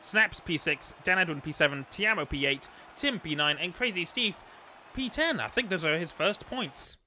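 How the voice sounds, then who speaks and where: A man's voice: high voice, animated, moderate pace, pushed and bright, slight background noise. Chatty man commentating a sim race, losing his voice. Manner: chatty, rambling with fillers. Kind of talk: live sim racing commentary. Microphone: desktop microphone.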